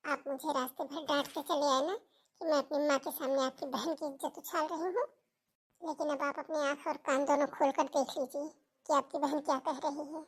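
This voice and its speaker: A woman's voice: sits high, steady tone, moderate pace. A woman playing a scornful, bossy young sister-in-law in a cartoon family melodrama, scolding and taunting.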